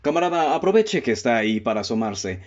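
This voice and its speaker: A Mexican man acting in a student radio drama as a self-assured, methodical amateur detective who speaks in a formal, deliberate, theatrical way. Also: mid-range voice, sing-song, natural voice. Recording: dull, muffled lo-fi audio.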